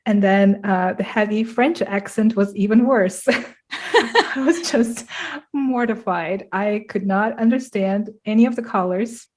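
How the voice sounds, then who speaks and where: A woman's voice: mid-range voice, measured pace, a little mellow. A middle-aged woman who grew up in Russia and speaks Russian as her first language, co-hosting a podcast and telling stories with frequent laughter. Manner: upbeat, laughs often while telling stories. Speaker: podcast co-host. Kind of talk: live podcast chat, storytelling.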